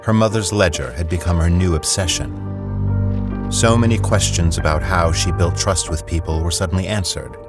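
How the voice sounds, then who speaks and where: A man's voice: deep voice, normal speed. A man narrating a scripted story. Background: prominent music.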